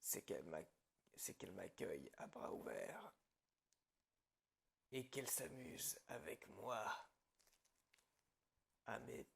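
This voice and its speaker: A man playing a calm, gloating psychopathic killer in an ASMR horror roleplay, husky and gravelly, often dropping toward a whisper. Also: fairly low pitch, exaggerated voice. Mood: wistful, obsessed. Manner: slow, pausing, quietly menacing storytelling. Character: calm, gloating male psychopath killer confessing his story.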